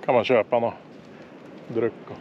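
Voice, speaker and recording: A man's voice: medium pitch, fairly even intonation, muffled recording. A man vlogging a family road trip, chatting casually while filming at an outdoor mountain viewpoint on a handheld camera. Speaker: travel vlogger. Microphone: handheld camera mic. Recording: noisy outdoor camera audio.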